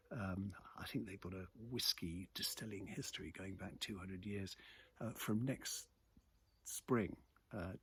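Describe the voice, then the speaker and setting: A British man giving a casual, off-the-cuff commentary on the news in a reflective, halting way. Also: low voice. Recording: clearly noisy ambient background.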